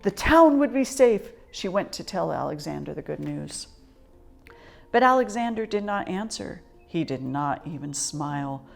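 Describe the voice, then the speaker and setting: A woman storyteller reading a children's storybook expressively, in a measured, articulate way. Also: lowish voice, animated, gravelly, faint music behind.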